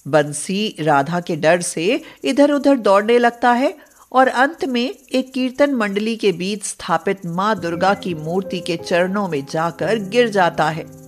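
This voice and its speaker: A woman's voice: mid-range voice, animated, unhurried. A woman narrating a scripted moral story for children as the storyteller.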